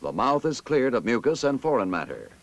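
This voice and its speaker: American man narrating, animated instructional delivery. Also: medium pitch, a little fast, no room sound. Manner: authoritative, instructive, clearly enunciated. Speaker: narrator of a military training film. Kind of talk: scripted instructional narration, vintage army training film.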